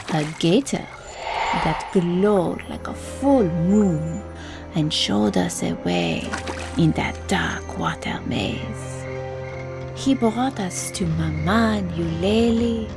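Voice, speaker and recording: An actress plays a streetwise Cajun bayou woman in an audio drama. Her voice is low and creaky, with music underneath. She speaks in slow dialect like an incantation, superstitious and quietly menacing. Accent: Louisiana Cajun.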